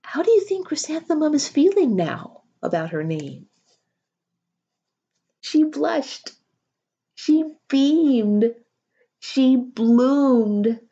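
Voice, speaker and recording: Woman, middle-aged, expressive storybook read-aloud, soft-edged warm tone, unhurried, deliberate emphasis. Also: high voice, slightly rough, dull audio. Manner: deliberate, drawn-out emphasis, pausing to ask listeners questions. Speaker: teacher reading a picture book aloud. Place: small home room, indoors.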